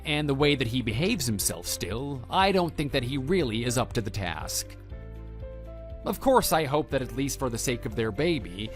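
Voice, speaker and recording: A man voicing a stern, principled former schoolteacher in a scripted audio drama, speaking in a measured, matter-of-fact way. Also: mid-range voice, close to an everyday voice. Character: stern, principled male former homeroom teacher, calm and reproving. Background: background music.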